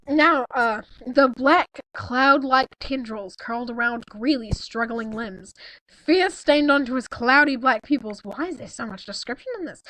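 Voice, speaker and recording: A woman's voice: high-pitched, a bit bright, no echo, poor audio. A young woman reading a story aloud in a casual, incredulous way, breaking off into informal asides. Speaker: co-host reading fan fiction aloud. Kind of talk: casual read-aloud with mocking commentary.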